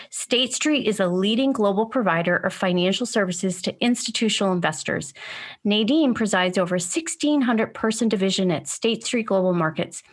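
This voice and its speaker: Upbeat woman hosting a podcast. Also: mid-range voice. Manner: upbeat, enthusiastic. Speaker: podcast host. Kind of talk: scripted intro, then interview chat.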